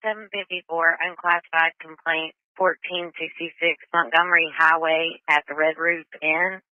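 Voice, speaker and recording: Thin, narrow-band radio audio carries a male dispatcher. His pitch is a touch high and stays very flat as he reads out procedural call information. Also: normal speed, no echo. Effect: two-way radio. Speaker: emergency dispatcher.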